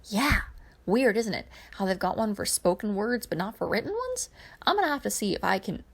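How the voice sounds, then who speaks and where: Woman doing a chatty, creaky young male engineer. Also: medium pitch, sing-song, a little fast. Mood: casual, curious.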